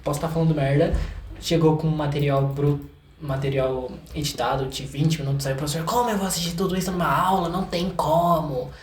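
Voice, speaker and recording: A man's voice: a little high, brisk, slight background noise. A young Brazilian man chatting casually and informally, often repeating words for emphasis. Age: young adult. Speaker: interview guest, video maker and film student. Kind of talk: casual chat. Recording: roomy echoing sound.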